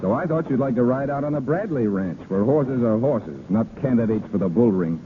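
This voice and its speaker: A man acting a breezy, romantic rancher in a 1930s radio drama. His delivery is wry and teasing at a medium pitch, heard through a tinny, slightly noisy old broadcast. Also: lively intonation, brisk, close to an everyday voice. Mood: playful banter. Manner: wry, bantering. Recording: scratchy narrowband 1930s radio recording.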